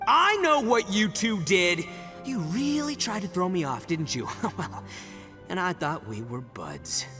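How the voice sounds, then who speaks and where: A man's voice: high voice, sing-song, unhurried, in a large hall, over music, exaggerated voice. A man playing a brash, theatrical young male braggart in an English video game dub, indignant and blustering. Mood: accusing, wounded.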